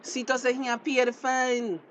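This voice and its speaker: A man's voice: very high voice, flat delivery, moderate pace, fairly bright tone, lo-fi sound. Evasive male fisherman, acted, Belizean Creole accent, defensive and hard-nosed, naturalistic drama dialogue, faint background noise. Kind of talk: naturalistic dialect radio drama acting.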